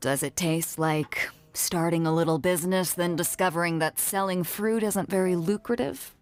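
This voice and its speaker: A woman's voice: fairly low pitch, moderate pace, natural voice. An actress playing a bold, breezy woman sea captain in a video game, frank and swaggering. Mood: amused. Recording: music behind the voice. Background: background music.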